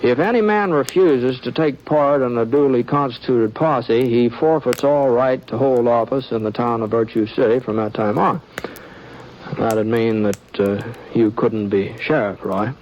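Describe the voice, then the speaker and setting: An actor playing an easygoing, sly drifting cowboy sheriff in a 1950s radio western, with a halting, folksy drawl. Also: male voice, mid-range voice, close to an everyday voice. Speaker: lead actor and narrator. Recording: dull old radio broadcast with music.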